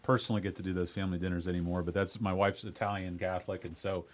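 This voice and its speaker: Fast-talking deep-voiced male podcast host. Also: flat delivery. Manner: quick, chatty, friendly questioning. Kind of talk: casual interview chat.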